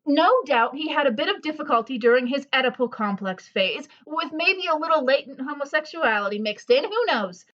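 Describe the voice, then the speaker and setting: An actress plays a self-assured, gossipy housewife in a comic audio play. Her voice sits very high and swoops expressively as she holds forth pompously. The sound is a little dull and lo-fi. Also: expressive, fairly quick, few pauses, a bit bright. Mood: self-assured, advising. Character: bossy, know-it-all gossipy housewife friend, amateur psychologist. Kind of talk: comedic audio drama acting.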